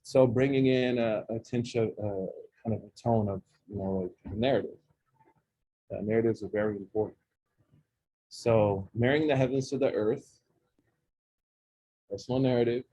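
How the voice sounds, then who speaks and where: Oklahoman man in his forties, mellow husky voice, jokey teacher working the room. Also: mid-range voice, steady tone, moderate pace, pauses often, low-quality recording. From Oklahoma, USA. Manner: chatty, jokey, asks the audience questions. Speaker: imam leading a student session. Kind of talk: interactive talk with students. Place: campus center room with a student group.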